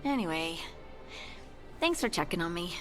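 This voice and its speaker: A woman's voice: lowish voice, very animated, normal speed, over music. A woman acting an earnest, conflicted young dwarf scout in a video game. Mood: reflective, resolute.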